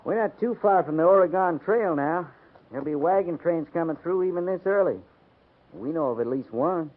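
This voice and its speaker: A man's voice: on the high side, expressive, moderate pace. A man playing a plainspoken, folksy frontier cavalry sergeant with a rustic American accent in a 1950s radio western. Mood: matter-of-fact. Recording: tinny, noisy 1950s radio recording.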